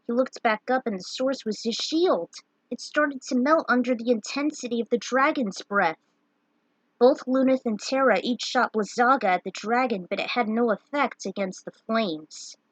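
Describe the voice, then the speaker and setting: A woman's voice: a little high, dry recording, poor audio. A woman reading a scripted fantasy adventure story aloud as a dramatic narrator. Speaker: storyteller reading fan fiction.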